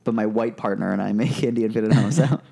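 A man's voice: on the low side, quick pace, creaky, warm tone. A young man, a comedian guest on a podcast, telling stories in a loose, chatty way full of fillers and restarts. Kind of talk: casual podcast chat.

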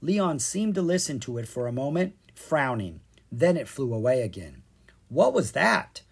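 This is a storyteller reading a children's book aloud. The delivery is expressive and full of lively pitch movement. The voice has a slightly husky grain. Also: moderate pace. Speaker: storyteller, podcast reader. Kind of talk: scripted narration of a children's storybook.

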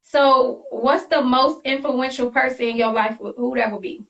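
A woman's voice: high-pitched, steady tone, moderate pace, highs cut off. A woman hosting a casual, hyped-up interview, with a bubbly, slangy, reactive way of talking. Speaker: host interviewer. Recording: distant mic with music behind.

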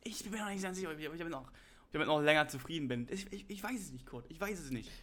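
A man's voice: a little high, very fast, fairly bright tone. A young man hosting a podcast, joking and teasing his co-host in casual chat.

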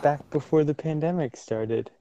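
A man's voice: a little high, moderate pace, dark tone. A man joining a casual podcast chat, joking in a dry, understated way. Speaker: podcast co-host. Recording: dull lo-fi audio.

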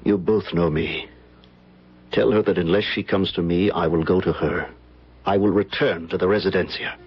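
An actor playing an earnest, devoted suitor, pleading ardently in old-time radio drama style. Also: male voice, low-pitched, steady tone, moderate pace, background noise. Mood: earnest, determined. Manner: ardent, insistent declarations. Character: earnest, devoted man pleading for his beloved. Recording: dull vintage radio broadcast recording.

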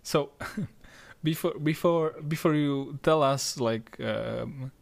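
A man co-hosting a podcast, chatting casually with many pauses and restarts. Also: fairly high pitch, creaky. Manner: hesitant, many fillers and restarts. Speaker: podcast co-host.

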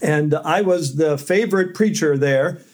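A middle-aged man, a seminary professor, telling stories as a podcast guest in a loud voice with fillers. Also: a little high, unhurried. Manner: loud voice, storytelling with fillers and light laughs. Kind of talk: conversational interview answers.

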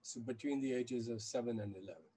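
A man, recalling things in an interview in a halting way and often correcting himself. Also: mid-range voice, dark tone, husky, poor audio. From India, with childhood years in China and the US. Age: 70s. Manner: halting, self-correcting recollection. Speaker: interview guest, activist and former journalist. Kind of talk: reflective interview conversation.

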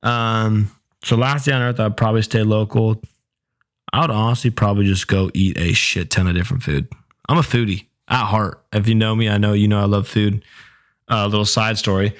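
A young man hosting his own podcast in a casual, chatty way, with a sore, hoarse voice that is giving out. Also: fairly low pitch, steady tone, a little fast. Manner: laid-back, chatty, swears casually. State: sore throat, losing voice. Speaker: podcast host. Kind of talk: casual solo podcast chat.

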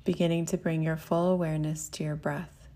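A woman with a low voice leads a guided meditation as the teacher. She speaks gently and without hurry, giving soothing instructions. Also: level tone, brisk.

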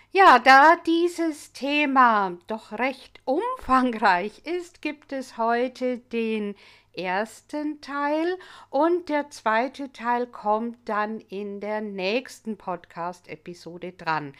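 A woman's voice: on the high side, fairly bright tone. A woman whose first language is English, a middle-aged language teacher hosting an educational podcast and explaining earnestly while putting many questions to her listeners. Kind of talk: podcast lesson monologue.

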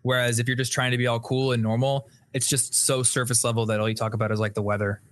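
A man's voice: mid-range voice, quick pace. Young male host, easygoing and candid, mid-range voice. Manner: relaxed, candid, casual swearing. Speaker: podcast host. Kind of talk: casual live-stream chat.

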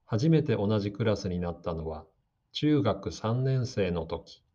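A man giving slow, calm, scripted narration for a radio drama meant for learners. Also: lowish voice. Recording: dull lo-fi audio.

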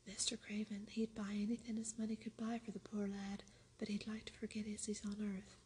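A gentle Yorkshire farm boy talks in a steady hush, as a woman reading a storybook whispers him. His Yorkshire dialect stays soft and even, with very little rise and fall. A faint hiss sits under the whisper. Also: mid-range voice, brisk, close to an everyday voice.

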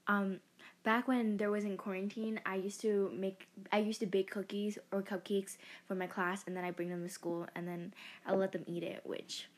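A woman's voice: mid-range voice, little pitch movement, moderate pace. A teenage girl hosting her first podcast, chatting in a casual, self-mocking way and often giggling. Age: teens. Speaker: podcast host. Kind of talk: casual chat.

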